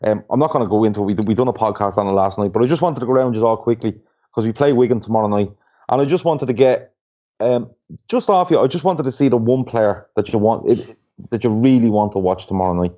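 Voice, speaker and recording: A man hosting a casual football podcast, relaxed and loose as he guides the talk. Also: lowish voice, fast talker. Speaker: podcast host. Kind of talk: casual chat. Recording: dull lo-fi podcast audio.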